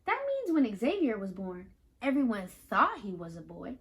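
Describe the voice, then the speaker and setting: A woman reading a picture book aloud to children, pausing to explain and put questions to the listeners in an instructive, animated way. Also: mid-range voice, husky. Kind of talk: children's read-aloud with teaching asides.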